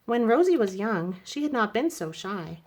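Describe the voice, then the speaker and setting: Woman, children's storybook narrator, expressive verse reading, medium pitch. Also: moderate pace. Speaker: storybook reader.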